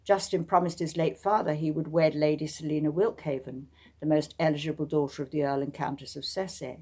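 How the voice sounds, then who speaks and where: A woman with a low-pitched voice presents her own fiction as its author. Her delivery is measured and deliberate, with frequent pauses, between informal talk and audiobook reading. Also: steady tone, a little mellow, slightly rough.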